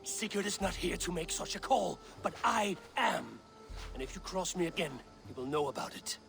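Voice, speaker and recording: An actor playing a stern, resolute warrior leader in a video game, with an authoritative and deliberate delivery. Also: male voice, high-pitched, expressive, gravelly, fairly bright tone, faint music behind, full character voice. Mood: angry warning. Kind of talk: dramatic video game acting.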